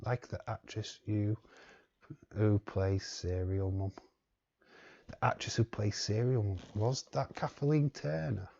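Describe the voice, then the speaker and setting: Slow gravelly man on a dull stream mic. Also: lowish voice, darkish tone. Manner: rambling, reads chat aloud, chuckles often. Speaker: live stream host. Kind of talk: casual live watch-along chat.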